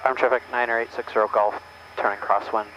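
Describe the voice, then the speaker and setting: A man's voice: medium pitch, steady tone, fast, sharp tone, slight background noise, rough recording. A man learning to fly, giving short acknowledgments and reading out radio calls in a small plane cockpit over a headset. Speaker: student pilot. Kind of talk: casual in-flight chat and radio calls.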